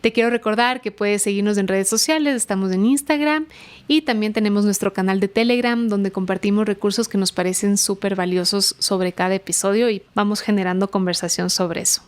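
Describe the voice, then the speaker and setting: A woman's voice: medium pitch, fairly even intonation, a little fast, clear, resonant tone. A woman hosting a podcast, reading the intro and then interviewing a guest in a friendly way, laughing often.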